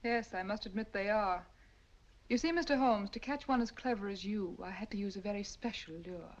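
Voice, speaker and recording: A woman's voice: medium pitch, fairly quick, natural voice. A woman playing a cunning, poised villainess in an old radio drama, with silky, polished politeness. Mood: scheming. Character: cunning, poised female villain, gracious and cold. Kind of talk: 1940s radio acting. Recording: dull old radio audio.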